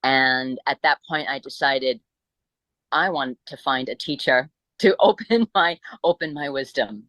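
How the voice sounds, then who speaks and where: An American woman speaking reflectively as an interview guest, halting as she searches for words. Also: a bass voice, fairly bright tone, no room sound. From born in Italy, grew up in the United States. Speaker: interview guest, Buddhist teacher.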